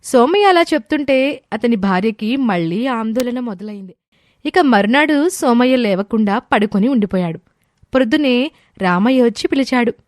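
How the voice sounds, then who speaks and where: A woman's voice: a little high, expressive, normal speed, darkish tone, no room sound. A woman reading scripted narration for a moral story as its narrator.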